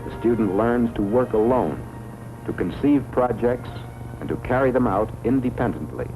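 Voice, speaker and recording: A man reads scripted 1950s educational film narration in a measured, formal way, on a vintage film soundtrack. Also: mid-range voice, expressive, over music, poor audio. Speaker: documentary narrator.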